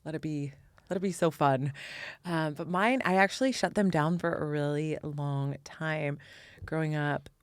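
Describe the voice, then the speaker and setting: Expressive American woman, dry close sound. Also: sits low, moderate pace, clean tone, no room sound. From Indiana, US Midwest. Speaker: podcast guest, spiritual teacher. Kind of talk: reflective conversational interview.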